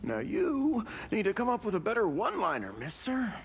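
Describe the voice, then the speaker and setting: A mouthy, wisecracking fighter from a video game. He has a high, wildly animated voice with some creak and a mocking edge, with noise clearly audible behind him. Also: normal speed, full character voice. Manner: irreverent, mocking. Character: cocky, wisecracking young male fighter. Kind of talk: video game character banter.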